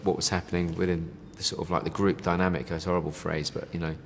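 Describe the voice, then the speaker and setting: A very low-voiced man talks fast and loose, piling up fillers and asides as he chats in an interview. He sounds a little distant in a space with a long reverb tail, over a faint background hiss. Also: little pitch movement, vocal fry. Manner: rambling, many fillers, says "you know" and "mate". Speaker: band member interviewed. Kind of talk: casual interview chat.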